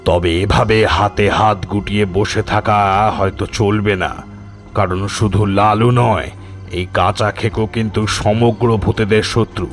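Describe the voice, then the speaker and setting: An actor voicing a level-headed male ghost on a squad who reasons calmly and plainly. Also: lowish voice, measured pace, soft background music, close to an everyday voice. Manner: calm, matter-of-fact reasoning.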